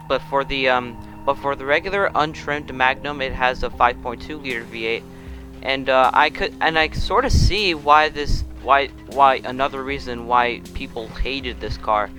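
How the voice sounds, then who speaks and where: A man's voice: mid-range voice, pushed and bright, poor audio. A young man hosting a casual car review of a game vehicle, rambling with many restarts and fillers. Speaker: car review channel host.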